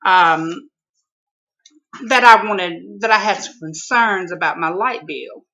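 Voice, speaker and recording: A man from Mississippi with a Southern accent and a high-pitched voice, telling a comic story in a folksy, exclaiming way. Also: slow, sharp tone, dull audio. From Mississippi, USA. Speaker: vlogger.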